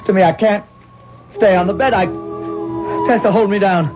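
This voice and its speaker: A man's voice: high-pitched, normal speed, over music, natural voice. A male actor playing an obsessive, self-absorbed stage-actor husband in a 1970s radio drama, with a rapturous, theatrical, musing manner. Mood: panicked. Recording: muffled, hissy 1970s radio recording.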